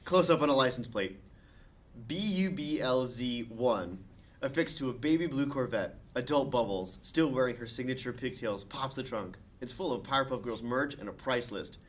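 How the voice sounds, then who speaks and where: A man narrating the stage directions of a comedy screenplay at a table read, with expressive delivery. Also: mid-range voice, moderate pace.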